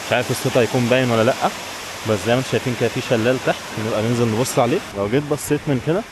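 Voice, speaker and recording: An Egyptian man speaks very quickly in a mid-pitched voice, chatting informally as he vlogs outdoors. He addresses viewers directly in a casual way, with clear street and traffic noise behind him. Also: fairly even intonation. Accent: Egyptian Arabic. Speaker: travel vlogger. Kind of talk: casual vlog chat to camera.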